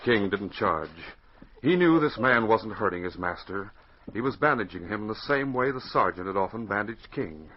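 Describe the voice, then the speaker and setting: Male 1940s radio announcer-narrator, North American, dramatic and highly inflected, on a muffled, hissy old recording. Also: animated, moderate pace. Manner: dramatic, emphatic.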